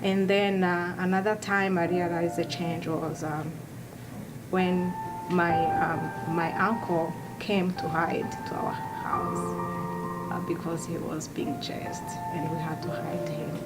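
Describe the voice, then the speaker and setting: Soft-spoken young woman from Rwanda. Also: on the low side, level tone, rough recording. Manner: soft-spoken, halting, with many restarts and fillers. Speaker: interviewee. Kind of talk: personal testimony interview. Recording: music bed underneath.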